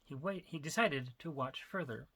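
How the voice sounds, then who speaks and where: A man with a fairly high, bright, forceful voice reads a novel aloud. His delivery is expressive and emphatic, shaped as dramatic storytelling. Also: normal speed. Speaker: author reading own work. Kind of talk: dramatic audiobook-style narration.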